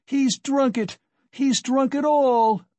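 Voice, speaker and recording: A man's voice: very high-pitched, fairly even intonation, takes it slowly, a little mellow, no echo, voice pushed up. A man voicing a frenzied, despairing poor wife and mother in an audiobook, crying out accusingly. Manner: shrieking, accusing, exclamatory. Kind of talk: dramatic audiobook character acting.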